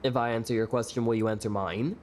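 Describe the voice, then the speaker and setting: A man's voice: mid-range voice, steady tone, fast talker, a little mellow, slight background noise, lightly acted. An actor playing a sassy, hot-tempered young male bar performer in an audio drama, sarcastic, flippant and sweary. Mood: teasing banter.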